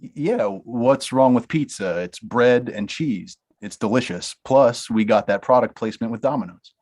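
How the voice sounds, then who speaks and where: A man's voice: medium pitch, moderate pace, darkish tone, lightly acted. A man reading the part of a blunt, money-minded talent manager in a comedy table read. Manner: brusque, dismissive, laughs through lines.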